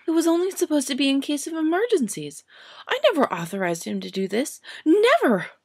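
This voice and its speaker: A woman's voice: high-pitched, big pitch swings, a little fast, no room sound, clean studio sound, voice pushed up. A woman reading an audiobook story and voicing an indignant, stubborn young witch in accusatory, incredulous outbursts. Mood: protesting, distressed.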